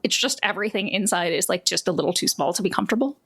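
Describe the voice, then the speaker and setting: A woman's voice: lowish voice, lively intonation, rapid-fire, a bit bright, breathy edge. A woman game master narrating a tabletop game in a casual, improvised, playful way.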